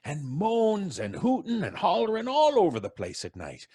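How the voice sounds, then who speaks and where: A folksy, superstitious old-timer from the country for a radio play. The voice sits high and swoops around in a lively way. He rambles on garrulously, muttering and hesitating. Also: normal speed, breathy edge, exaggerated voice. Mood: spooked, gossipy. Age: older. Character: rambling, superstitious old country man telling ghost lore. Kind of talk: old time radio drama acting.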